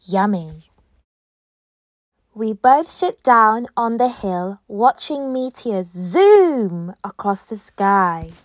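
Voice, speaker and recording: A woman reading a children's picture book aloud with expressive, dramatic storytelling delivery. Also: mid-range voice, drawn-out words. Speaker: storybook reader.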